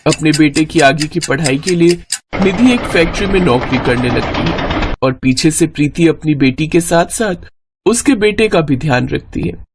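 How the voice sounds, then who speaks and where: A woman reading a scripted moral story as an expressive narrator. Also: low-pitched, normal speed, noisy background.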